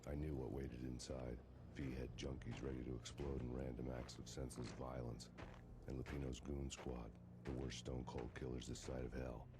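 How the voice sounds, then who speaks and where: An actor playing a world-weary, bitter cop on the run in a noir game, in a deadpan, sardonic, gruff manner. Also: male voice, rumbling low, moderate pace. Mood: brooding, deadpan. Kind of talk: hard-boiled noir narration.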